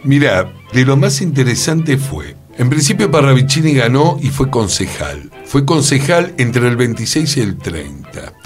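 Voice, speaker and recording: A man's voice: medium pitch, sing-song, moderate pace, hardly any pauses, faint music behind. A man with an Argentine Rioplatense accent co-hosting a radio talk, telling theatre history in a chatty, conversational way with rhetorical questions. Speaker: radio co-host, actor.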